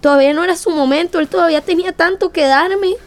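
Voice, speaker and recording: High-voiced anguished weeping daughter. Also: female voice, quick pace. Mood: anguished, tearful. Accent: Costa Rican. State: crying. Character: anguished grieving young daughter, pleading. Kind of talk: emotional radio drama.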